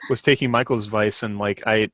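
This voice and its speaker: A man's voice: fairly low pitch, steady tone, brisk, a bit bright, dry recording. A man co-hosting a casual podcast, rambling and thinking aloud as he talks. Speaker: podcast co-host and co-author.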